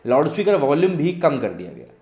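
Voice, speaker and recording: A man's voice: on the high side, sing-song, a little fast, a little mellow. A man presenting news with his own commentary, explaining things earnestly and directly to viewers. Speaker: host of a news commentary channel. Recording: echoey, reverberant room.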